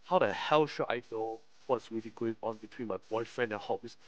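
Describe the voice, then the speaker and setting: A man's voice: lowish voice, fairly quick, lo-fi sound. A man live-streaming a visual novel, reading it aloud with slangy commentary and frequent stumbles over the text. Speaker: game streamer.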